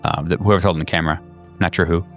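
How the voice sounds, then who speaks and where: A man with a low voice narrates a horror tabletop game as its game master. He feels his way through the scene with drawn-out pauses. Faint music plays underneath. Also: steady tone, a bit bright.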